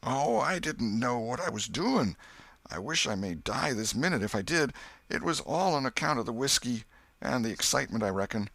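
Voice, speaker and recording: A man's voice: medium pitch, creaky, fairly bright tone, dry recording, pitched above the performer's own voice, heavily acted. A man voicing a frightened, pitiful male drunkard in an audiobook, begging and wailing in rustic speech.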